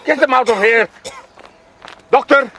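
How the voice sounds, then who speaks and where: An actor playing a cruel, imperious sultan in a radio drama, commanding and scornful in manner. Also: male voice, very high voice, unhurried, bright, forceful tone, slight background noise, full character voice. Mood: furious.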